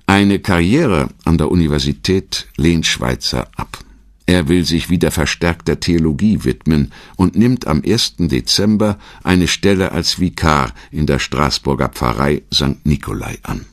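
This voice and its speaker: A man narrating a scripted biographical documentary in a measured, articulate manner. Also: rumbling low, no room sound, studio quality.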